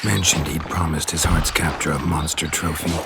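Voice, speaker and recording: Super deep gravelly monster hunter for a game, tired, deadpan, hushed, background noise going. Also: male voice, moderate pace. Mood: blunt, skeptical. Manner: terse, deadpan. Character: stoic, world-weary male monster hunter. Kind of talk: video game voice acting.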